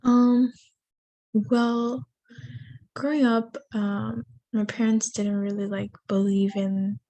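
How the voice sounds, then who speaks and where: A woman in her twenties from Miami, whose first language is Spanish, playing a client in a practice counseling session and speaking haltingly with many fillers. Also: on the high side, flat delivery, mellow, rough recording. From Miami, USA. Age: 20s. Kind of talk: mock counseling intake conversation.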